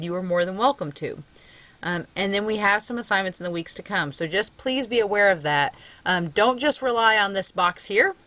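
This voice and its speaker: A woman's voice: medium pitch, a bit bright. A woman with a Southern US accent, a college English instructor giving a conversational recorded lecture with frequent ums. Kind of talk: recorded lecture with screen share.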